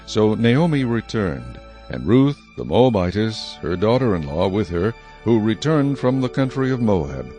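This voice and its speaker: Guy narrating the bible, lowish voice, measured and solemn, faint music behind. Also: sing-song, mellow.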